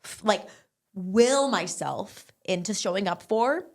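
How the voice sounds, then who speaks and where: Chatty young woman, fast and filler-heavy. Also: medium pitch, lively intonation. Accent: English-speaking, non-French. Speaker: barre instructor, guest. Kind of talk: casual interview chat.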